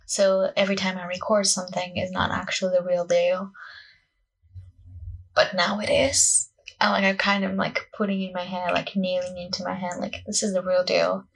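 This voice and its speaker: A woman's voice: lowish voice, flat delivery, normal speed, vocal fry, slightly rough, poor audio. A young woman of about twenty from Portugal, speaking with a Portuguese accent in a casual, rambling, self-conscious solo podcast chat, often saying "like". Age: young adult, about 20. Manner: self-conscious, scattered, peppered with "like". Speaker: podcast host, acting student.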